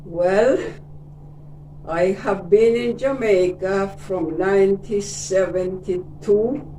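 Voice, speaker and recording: An older woman in her seventies from Cyprus, Greek is her first language and she speaks English with a Greek Cypriot accent, telling her life story gently and unhurriedly, often mentioning her faith. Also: medium pitch, takes it slowly, slight background noise. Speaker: interview guest. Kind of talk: casual life-story interview. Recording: echoey room, distant mic.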